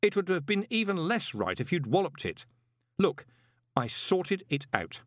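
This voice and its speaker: A man voicing a glib, quick-witted male swindler turned postmaster in an audiobook, brisk, assertive and persuasive. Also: sits high, very animated, no echo, clean studio sound, voice pushed up. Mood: exasperated. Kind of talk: audiobook character voice.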